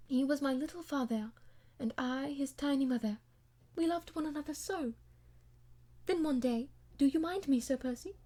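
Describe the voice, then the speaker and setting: Audiobook role: woman reader as young aristocratic wife, high voice, proud yet imploring, vehement, old-fashioned diction. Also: a little mellow, close to an everyday voice. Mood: earnest, tender. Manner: vehement, pleading, theatrical old-fashioned speech. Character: proud, impassioned young noblewoman pleading with her husband.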